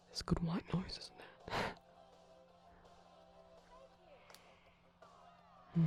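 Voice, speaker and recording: A man playing a shy, gentle vampire boyfriend in an ASMR roleplay, whispering softly and rambling hesitantly over a TV soundtrack. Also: a little high, lightly acted. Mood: drowsy, grateful. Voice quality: soft, breathy whisper. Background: film audio and music from a TV.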